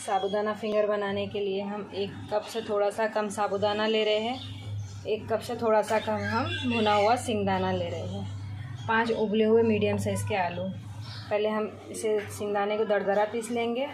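A woman hosting a cooking channel, calmly explaining a recipe step by step from her home kitchen. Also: medium pitch, noisy background.

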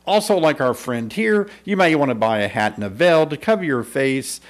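A man's voice: mid-range voice, lively intonation, moderate pace. A man in his fifties, a beekeeping instructor giving an upbeat, persuasive video lesson to camera in a small indoor studio, speaking into a desk mic. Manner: upbeat, persuasive, clear teaching delivery. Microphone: desk microphone in front.

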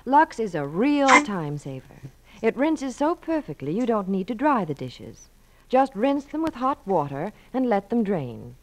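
Female commercial presenter, old-time radio; scripted, medium-pitched, animated intonation. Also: measured pace, slight background noise. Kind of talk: 1940s scripted radio advertising. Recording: vintage 1940s radio broadcast.

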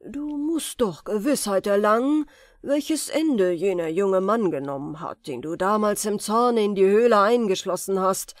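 A woman voicing a wicked, vengeful sorcerer in a fairy-tale audiobook, forceful and theatrical in delivery. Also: medium pitch, a little slow, no room sound, clean studio sound, lightly acted. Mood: brooding, scheming. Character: wicked vengeful sorcerer, scheming grown man.

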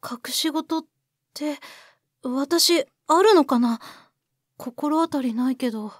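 A woman's voice: high-pitched, slow pace, dry recording, studio quality. A woman playing a caring, concerned young colleague in a voice drama, tentative and earnest. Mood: worried. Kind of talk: Japanese voice drama acting.

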